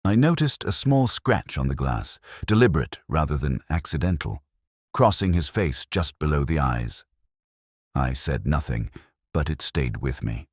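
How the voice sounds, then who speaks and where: A man playing a weary, melancholy police inspector who narrates his case in measured, literary first-person prose. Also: extremely low pitch, sing-song, slightly rough, no room sound, studio quality, full character voice. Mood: pensive.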